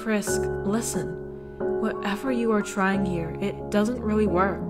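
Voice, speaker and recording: A woman's voice: mid-range voice, a little slow, over loud music, natural voice. A woman playing a firm, motherly character who pleads earnestly and emphatically in a fan comic dub. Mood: firm, coaxing.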